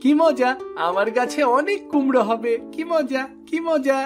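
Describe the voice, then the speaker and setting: An actor voicing an excitable, sweet and eager young village boy in a cartoon, with music behind. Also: sits high, normal speed, close to an everyday voice. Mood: excited. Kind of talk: cartoon dialogue.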